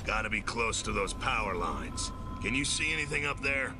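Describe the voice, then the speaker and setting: An actor voicing a gruff, commanding, hard-bitten squad leader in a video game cinematic, gravelly and curt. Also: male voice, on the low side, lively intonation, normal speed, noisy background. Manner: terse military commands, curt. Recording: game music behind the voice.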